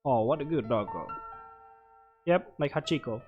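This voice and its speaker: A man doing casual game commentary, reading on-screen text aloud and reacting with short, halting interjections. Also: mid-range voice, animated, narrow, tinny audio. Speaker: let's player. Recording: music playing behind the voice. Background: game music.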